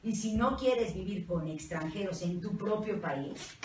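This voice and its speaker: A Mexican woman co-hosting a casual panel chat, blunt, profane and animated, with a Mexican Spanish accent. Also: mid-range voice, a little slow, a little hiss or hum. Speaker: co-host. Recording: echoey room, mic at a distance.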